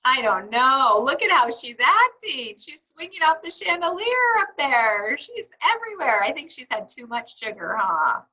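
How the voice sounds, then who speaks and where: A woman reading a picture book aloud to young children in a teacherly, expressive way, putting questions to her listeners. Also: high-pitched, measured pace, few pauses, breathy edge, low-quality recording. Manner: teacherly, asks questions and answers them; exaggerated, expressive child-directed delivery.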